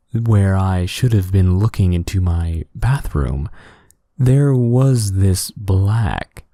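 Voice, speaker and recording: A man reads a scary story as soothing bedtime narration. His voice sits on the low side and has a dark, relaxed tone. The pitch moves in a lively way through the scripted lines, and the recording is very dry. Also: no room sound.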